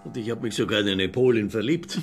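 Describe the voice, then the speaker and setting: A man's voice: fairly low pitch, expressive, brisk, music in the background. An actor playing a tipsy, pompous older Austrian man of letters in a radio drama, declaiming sentimentally with an Austrian accent. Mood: animated, tipsy.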